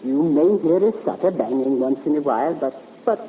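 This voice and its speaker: A woman's voice: deep voice, animated, a little fast. An actress playing a fretful, genteel elderly lady companion in a radio drama, hesitant and fluttery in her speech. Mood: nervous, evasive. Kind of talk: 1950s radio drama acting. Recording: muffled, noisy 1950s radio recording, reverberant.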